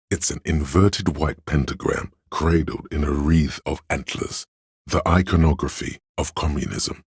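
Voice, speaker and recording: A man voicing a game character, the pedantic, erudite inner voice of a detective's knowledge, speaking in a measured, very deep, gravelly, husky voice. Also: no room sound, studio quality, heavily acted. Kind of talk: scripted game narration.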